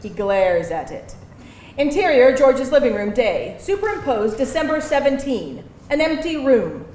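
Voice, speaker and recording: A woman reading stage directions aloud as narrator at a live table read, expressive and theatrical, in a roomy venue. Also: high voice, measured pace. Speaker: screenplay narrator. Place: live event venue with audience. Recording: roomy live venue, faint background noise.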